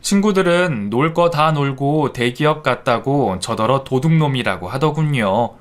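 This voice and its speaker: A man calmly narrating a scripted first-person life story. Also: mid-range voice, unhurried.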